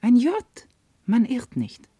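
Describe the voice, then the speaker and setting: A woman playing a sharp-tongued, scorned servant wife in a German classical verse radio drama, with emphatic theatrical delivery. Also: a little high, sing-song, slow, slightly rough, heavily acted. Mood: hushed, dismayed. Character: sharp-tongued, scorned servant wife, scolding yet devoted to her mistress.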